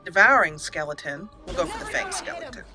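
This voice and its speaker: A woman's voice: fairly low pitch, wildly swooping pitch, fairly quick, over music. A woman hosting a let's play, chatting playfully through her gameplay, laughing often and reading game text aloud. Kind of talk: gameplay commentary.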